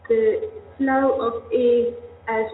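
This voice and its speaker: A young woman speaks earnestly and searches for her words. Her voice sits a little high. The sound is thin and phone-like, ringing with long reverb and a faint hum. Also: little pitch movement, very slow. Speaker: voice student and teacher. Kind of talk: candid workshop interview. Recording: tinny, echoey hall with faint hum.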